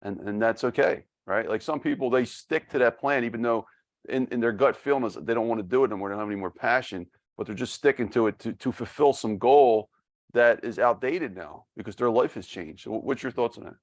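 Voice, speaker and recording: A man's voice: medium pitch, fairly quick. A man hosting a podcast interview, encouraging and supportive, often restarting his sentences and chuckling. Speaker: podcast host. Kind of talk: interview host.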